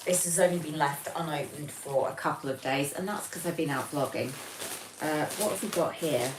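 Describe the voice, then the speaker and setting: British female streamer, low register, informal and chatty, recorded at a distance with background noise. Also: normal speed. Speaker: livestream host. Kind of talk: casual livestream chat, reading out viewer comments. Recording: roomy, distant mic, noticeable background noise.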